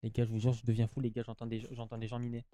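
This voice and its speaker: A man's voice: mid-range voice, monotone, very fast, warm tone, dull audio. A man giving casual, slangy gameplay commentary, chatting to his viewers and often repeating himself. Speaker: gaming YouTuber.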